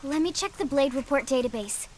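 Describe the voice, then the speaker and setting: High-pitched, plucky young soldier. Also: female voice, fairly quick, faint music behind. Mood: puzzled, alert. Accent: American. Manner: breezy, slangy, expressive. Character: eager, upbeat young female soldier, casual and plucky. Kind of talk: video game cutscene acting.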